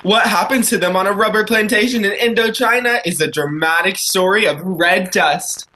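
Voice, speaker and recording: Genial male radio host as acted by a performer, 1940s announcing style, bright projected tone. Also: mid-range voice, moderate pace, natural voice. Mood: polished, upbeat.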